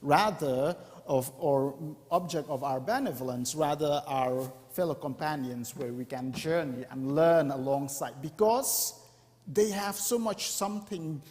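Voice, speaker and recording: A man, speaking in a slightly raised register. He explains his points earnestly and often pauses to find the next word. His voice carries a long reverb through a church hall. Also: on the high side, darkish tone. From India. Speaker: mission speaker. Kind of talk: church presentation talk.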